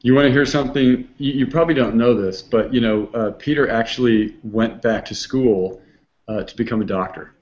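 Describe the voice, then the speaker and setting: A man hosts a loose online hangout, his mid-pitched voice wandering through restarts and fillers. The sound is dull and lo-fi, like a streamed web call. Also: moderate pace, darkish tone. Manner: rambling, many restarts and fillers. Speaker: host. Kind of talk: casual online hangout chat.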